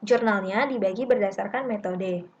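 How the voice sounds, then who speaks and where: Young woman reading out a lesson step by step, mid pitch, kinda dull lo-fi mic with noise behind her. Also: normal speed. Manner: methodical, instructional. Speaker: accounting lab tutor. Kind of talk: scripted lesson narration.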